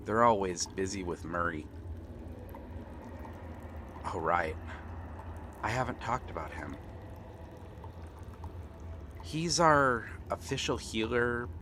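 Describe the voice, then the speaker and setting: Slow, earnest young pirate prince played by a man. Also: lowish voice, background noise. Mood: wary, puzzled. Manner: earnest, gentle, unhurried talk with pauses. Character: friendly, eager young pirate prince befriending a shy mermaid. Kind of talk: ASMR roleplay acting, one-sided dialogue. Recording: water and ambient sound effects behind. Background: seaside ambience, water sounds.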